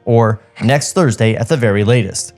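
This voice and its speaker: A man playing a brisk, excited cartoon announcer hawking the wonders of the future in old newsreel style. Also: medium pitch, faint music behind, voice pushed down, natural voice. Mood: grand, excited.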